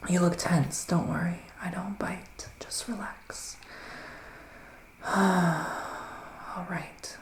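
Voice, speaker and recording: A man playing a gentle, theatrical male witch psychic in an ASMR roleplay, speaking in a breathy, sighing, partly whispered hush. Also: sits high, fairly even intonation, drawn-out words, pauses often, reverberant, full character voice. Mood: welcoming, hushed. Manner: breathy, sighing, hushed, dramatic. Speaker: ASMR performer.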